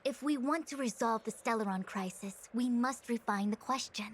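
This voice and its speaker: Woman doing a proud young diviner lady from a game, highish voice, super formal n wordy, bit pompous, music playing behind. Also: normal speed, a little hiss or hum, close to an everyday voice. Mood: lofty, instructive. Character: proud, exacting young female master diviner. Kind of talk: scripted video game voice acting.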